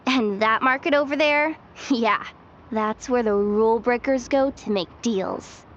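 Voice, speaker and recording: High-voiced streetwise young informant played by a woman. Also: a little slow, highs cut off, a little hiss or hum, natural voice. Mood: sly, confident. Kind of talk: video game voice acting. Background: game music.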